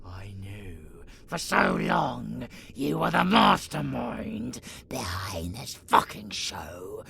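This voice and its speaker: Ravenous creaky-voiced vampire monster, snarling and slow. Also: mid-range voice, big pitch swings, a little hiss or hum, full character voice. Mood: menacing, brooding. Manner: snarling, taunting, profane, swinging between whispers and shouts. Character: ravenous, savage vampire abomination hungry for prey. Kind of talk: horror audio roleplay acting.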